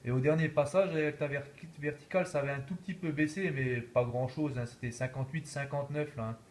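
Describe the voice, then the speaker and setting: A man's voice: fairly high pitch, steady tone, a little fast, darkish tone, a little hiss or hum. A man talking casually as an amateur radio operator, repeating himself and peppering his talk with verbal tags. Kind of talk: casual radio contact chat.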